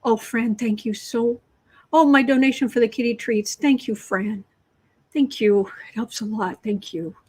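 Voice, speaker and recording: A woman hosting a political tarot livestream who reads out cards and viewer comments in a chatty, sarcastic way, often restarting her sentences and clearing her throat. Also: fairly high pitch, a little slow. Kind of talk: casual livestream commentary while reading cards and chat.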